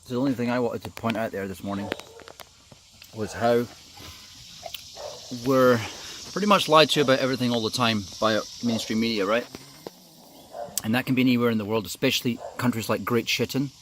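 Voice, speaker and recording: A man's voice: medium pitch, fairly quick, pauses often, background noise. A middle-aged man from New Zealand giving an informal, opinionated monologue, rambling and hesitant as he goes. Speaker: video commentator.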